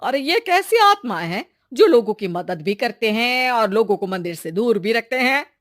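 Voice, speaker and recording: A woman's voice: a little high, big pitch swings, moderate pace. A woman voicing a curious, chatty young village woman in a moral-story cartoon, with colloquial exclamations.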